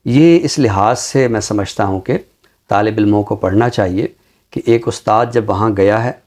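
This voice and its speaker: A middle-aged man with a Pakistani accent speaks formally and deliberately about literature, repeating phrases for emphasis. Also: fairly low pitch, a little mellow. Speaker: literary show presenter and book reviewer. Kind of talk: measured literary talk with poetry recitation.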